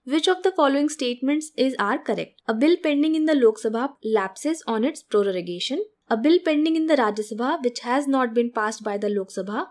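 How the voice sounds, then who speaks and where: A woman's voice: high voice, lively intonation, fairly quick, few pauses, a little mellow. A woman, going through exam questions like a teacher, reading each statement and then saying whether it is true. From India. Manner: methodical, reads each option then rules on it. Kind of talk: explaining exam questions over slides.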